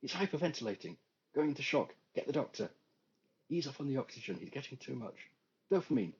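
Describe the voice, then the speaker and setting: A man voicing a brisk hospital doctor who asks calm, matter-of-fact questions. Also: medium pitch, gravelly, husky, dull audio, pitched above the performer's own voice. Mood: urgent.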